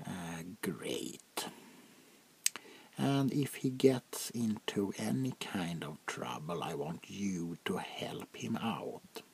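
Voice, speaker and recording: A centuries-old vampire prince, acted by a man, murmurs in a very low, creaky half-whisper. He is haughty and quietly menacing, drawing out each command with slow condescension. It is a soft ASMR roleplay with audible noise behind the voice. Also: lively intonation, heavily acted. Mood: commanding, dismissive. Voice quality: gravelly, breathy half-whisper. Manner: slow, deliberate, condescending, drawn-out pauses.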